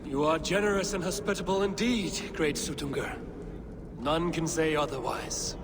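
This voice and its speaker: Game warrior lord , male actor: proud, measured, formal, controlled, slightly high voice, noisy audio. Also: lightly acted. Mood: courteous. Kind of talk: video game voice acting.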